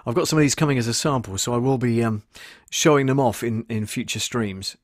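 A man's voice: mid-range voice, fairly quick, dry recording. A man in his fifties chatting informally and casually as he hosts a tutorial for viewers, close on a desk microphone. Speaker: audio producer and live-stream host. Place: small soundproofed home studio in a converted shed. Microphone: desk condenser microphone, close.

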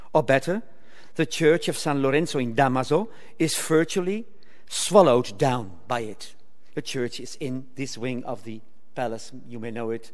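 An older man reading a formal academic paper aloud at a lectern, measured and occasionally stumbling over words. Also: medium pitch. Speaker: conference speaker. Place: lecture hall, speaking at a lectern. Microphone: lectern microphone. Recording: reverberant hall.

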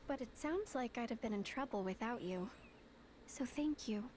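A woman's voice: a little high, animated, moderate pace, darkish tone, slight background noise, close to an everyday voice. An actress playing a sweet, polite teenage girl heroine in a game cutscene. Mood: apologetic, grateful. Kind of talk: dramatic game cutscene acting.

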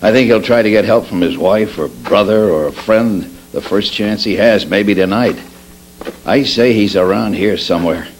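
An older American man playing a stern, weary Old West marshal in a radio drama, with a dry, measured delivery. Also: on the low side, natural voice. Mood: weary, troubled. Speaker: radio actor. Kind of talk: old-time radio drama re-creation, read from script. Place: convention meeting room, indoors. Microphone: stand microphone on stage. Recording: noisy amateur video of live stage.

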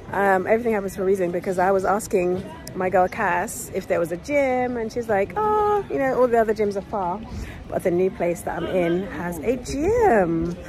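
A woman in her thirties vlogging on a trip, excitable and laughing often as she talks to her phone camera. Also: medium pitch, moderate pace. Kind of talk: casual travel vlog chat. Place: busy streets, shops and a car in a city. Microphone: phone held at arm's length. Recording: loud crowd and street noise.

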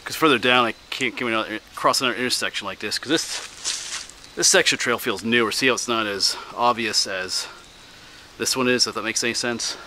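A middle-pitched American man talks casually to his camera as he hikes. He rambles in a folksy, thinking-aloud way. The outdoor recording carries clear background noise. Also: fairly quick, pushed and bright. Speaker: hiking vlogger and teacher. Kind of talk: casual chat while walking a trail. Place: outdoors on a wooded trail.

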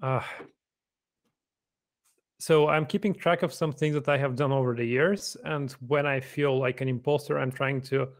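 Soft-spoken Bulgarian-accented man. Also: medium pitch, fairly even intonation, moderate pace. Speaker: guest software engineer. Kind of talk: casual video-call interview. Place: living room at home. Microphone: desk microphone on video call.

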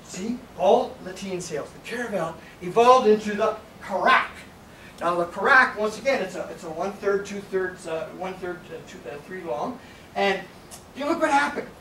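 An older man giving a casual slide lecture on sailing history, rambling and animated, with frequent restarts and asides. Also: high-pitched, normal speed, a little hiss or hum. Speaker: sailing presenter. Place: darkened lecture room with projector. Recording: echoey room from a distance, faint ambience.

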